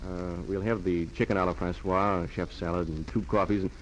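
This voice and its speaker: A man's voice: sits low, fairly even intonation, moderate pace, close to an everyday voice. An actor playing an easygoing, teasing idle young rich man in a 1940s radio drama. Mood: wry. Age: young adult. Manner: breezy, teasing. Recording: dull, hissy 1940s radio recording.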